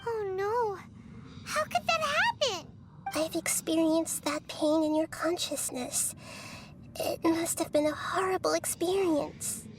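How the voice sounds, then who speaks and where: Tiny kid goddess from a game, super high voice, slow n halting, earnest, soft-hearted. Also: female voice, lively intonation, music in the background, heavily acted, poor audio. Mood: distressed. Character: small childlike young goddess, earnest and tender-hearted. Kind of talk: scripted dramatic game dialogue.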